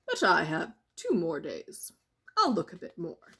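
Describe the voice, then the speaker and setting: A woman's voice: lowish voice, wildly swooping pitch, a little slow, pushed and bright, husky, heavily acted. A woman voicing a brave, eager young prince in a children's storybook, musing aloud in a declamatory way.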